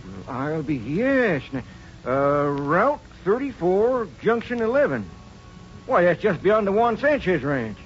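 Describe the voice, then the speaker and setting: Excitable high-voiced cowboy sidekick. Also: male voice, takes it slowly, slight background noise, exaggerated voice. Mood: puzzled, excited. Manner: folksy, puzzled. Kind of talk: 1950s radio western acting. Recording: muffled old radio recording.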